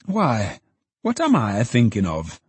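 A man's voice: lowish voice, wildly swooping pitch, unhurried, breathy edge, dry recording, exaggerated voice. A man voicing a poor, good-humoured old father in a storybook, musing and exclaiming in a folksy way. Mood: sudden realisation.

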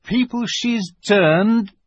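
A man voicing a grave, kindly old rustic beaver in a storybook, speaking slowly and emphatically in a folksy, knowing way. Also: high-pitched, dry recording, muffled recording, pitched above the performer's own voice, heavily acted. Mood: gloomy. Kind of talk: dramatized storybook reading.

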